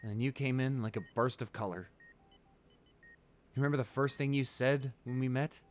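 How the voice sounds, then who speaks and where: A man playing a wealthy, devoted client pleading at his comatose lover's bedside in an intimate ASMR roleplay, emotional and halting. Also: medium pitch, fairly even intonation, natural voice. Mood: wistful, tender. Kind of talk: ASMR boyfriend roleplay, dramatic monologue.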